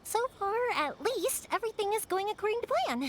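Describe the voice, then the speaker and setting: A bright, cheerful fireworks girl. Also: extremely high pitch, sing-song, fairly quick, slight background noise. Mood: upbeat. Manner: bright, caring, reassuring. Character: cheerful young woman fireworks maker. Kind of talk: game cutscene dialogue. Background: game music.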